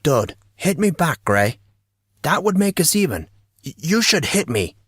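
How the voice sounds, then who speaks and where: A man plays a teenage boy in an audio drama, with a husky edge and pitch that swings freely. He talks in informal schoolboy slang, close and dry on the recording. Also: very animated, a little slow, a bit bright, no echo, exaggerated voice. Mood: pleading. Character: teenage schoolboy, emotional and bantering with close friends.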